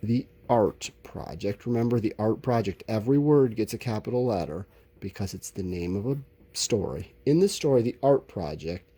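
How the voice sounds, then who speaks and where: A man's voice: on the low side, animated, moderate pace, dark tone, breathy edge. A man teaching a recorded lesson, talking informally to his students and explaining things in a candid, conversational way.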